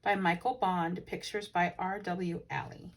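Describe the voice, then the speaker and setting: A woman reading a children's picture book aloud as a teacher, with animated, dramatic emphasis. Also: lowish voice, a little slow, slight background noise. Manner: animated, dramatic emphasis with hushed asides to listeners.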